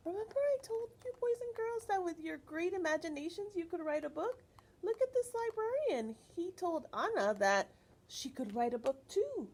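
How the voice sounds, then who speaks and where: A woman reading a picture book aloud to children, expressive, pausing to ask questions and explain like a teacher. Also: extremely high pitch.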